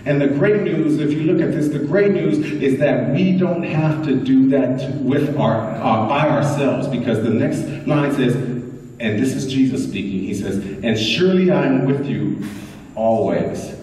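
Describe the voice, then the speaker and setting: A middle-aged man preaching a lively sermon, repeating phrases and prompting his congregation. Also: medium pitch, measured pace. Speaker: pastor. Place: large bright church hall indoors. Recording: echoey hall recorded from far off.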